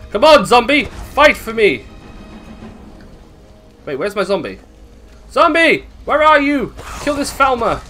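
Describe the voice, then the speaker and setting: A man's voice: high-pitched, huge pitch swings, takes it slowly, pauses often, sharp tone, soft background music. A British man giving casual gameplay commentary, thinking aloud, swearing and questioning himself as he goes. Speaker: gaming video host.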